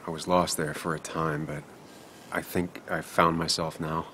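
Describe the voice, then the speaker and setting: Deep-voiced, weary man. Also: little pitch movement, unhurried, pushed and bright, a little hiss or hum, heavily acted. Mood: remorseful, subdued. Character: weary, remorseful male journalist grieving a loss. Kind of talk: video game cinematic dialogue.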